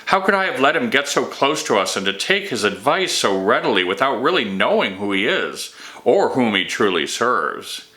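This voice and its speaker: A man's voice: medium pitch, sing-song, normal speed, few pauses, sharp tone. A man reading the part of an earnest, ashamed medieval king in a storybook. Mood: rueful, ashamed. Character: Pendragon, ashamed, self-reproaching medieval king.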